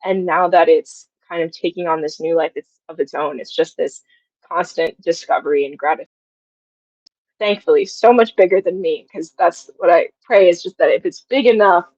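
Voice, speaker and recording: Young female interview guest, lower-pitched voice, effusive casual talker, dry but slightly muffled recording. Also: moderate pace, a little mellow, no room sound. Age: young adult.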